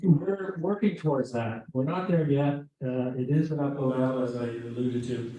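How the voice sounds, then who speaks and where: A man giving a webinar presentation, speaking haltingly with restarts and fillers, recorded from a distance in a meeting room. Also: medium pitch, dark tone. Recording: echoey room, from far off.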